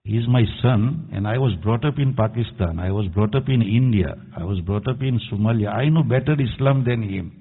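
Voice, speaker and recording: A man's voice: on the low side, brisk, hardly any pauses, husky. A man preaching an earnest, admonishing sermon as imam, quoting Arabic verses. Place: mosque hall. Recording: tinny echoey hall recording.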